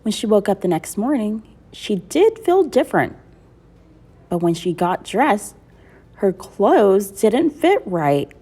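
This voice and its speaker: A woman reading a children's storybook aloud in an expressive, deliberate storytelling manner. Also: medium pitch, slightly rough. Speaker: school librarian reading aloud.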